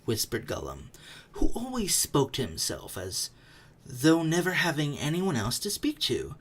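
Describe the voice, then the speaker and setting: A storyteller giving a dramatic, suspenseful reading of a book, with phrases often falling away into a hush. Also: mid-range voice, measured pace, slightly rough. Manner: expressive, suspenseful, hushed phrase endings. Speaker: narrator. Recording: faint background noise.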